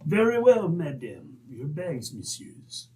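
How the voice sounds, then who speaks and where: A man's voice: a little high, huge pitch swings, takes it slowly, exaggerated voice. A man playing an ominous, surly old French coachman with a French accent, grave and terse, in an old-time radio drama. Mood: sullen, obedient. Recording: distant from mic, noisy.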